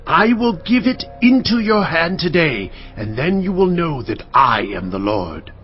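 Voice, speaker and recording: An actor playing a solemn, assured prophet in a dramatised audio Bible, declaiming God's word emphatically. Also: male voice, a little high, sing-song, unhurried. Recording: dull lo-fi audio with music. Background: background music.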